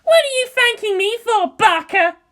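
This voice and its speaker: A woman voicing a fiery, haughty young female pilot in an audiobook story, sharp and challenging. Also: very high-pitched, expressive, moderate pace, voice pushed up. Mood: irritated, challenging.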